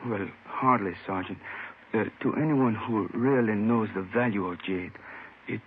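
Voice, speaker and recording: A man playing a courteous, sentimental Chinese jade dealer in 1950s radio drama, speaking in a formal, measured way. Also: on the low side, breathy edge. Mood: polite, earnest. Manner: formal, measured, deliberate phrasing. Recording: muffled old radio broadcast, phone-like sound.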